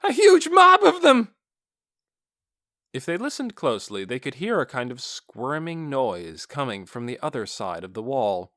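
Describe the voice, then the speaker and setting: A man's voice: mid-range voice, very animated, moderate pace, few pauses, no room sound, clean studio sound. A man reading scripted fantasy fiction as an audiobook narrator.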